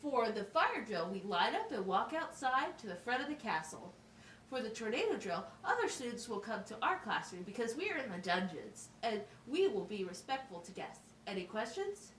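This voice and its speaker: Woman acting as a patient puppet-show teacher, high-pitched, simple instructive phrasing, distant roomy mic. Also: very animated, normal speed, a bit bright, a little hiss or hum. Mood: firm, instructive. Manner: clear, simple, instructive phrasing with checking questions. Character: female schoolteacher at a wizard school, patient, instructive. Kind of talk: amateur educational puppet show dialogue.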